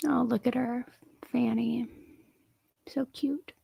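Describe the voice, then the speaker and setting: A hoarse, under-the-weather woman with vocal fry, hosting a casual, chatty livestream commentary. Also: on the high side, fairly even intonation, slow, warm tone. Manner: chatty, informal. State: hoarse, feeling sick. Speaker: true crime livestream host.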